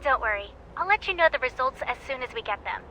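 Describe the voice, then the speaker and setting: An actress playing an excitable, chatty young female researcher in a video game. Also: high-pitched, quick pace, soft background music, poor audio. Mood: excited.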